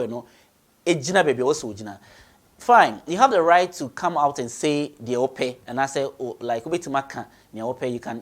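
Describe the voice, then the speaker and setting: Emphatic Ghanaian male radio host. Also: fairly high pitch. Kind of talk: opinionated commentary mixing two languages. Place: radio studio.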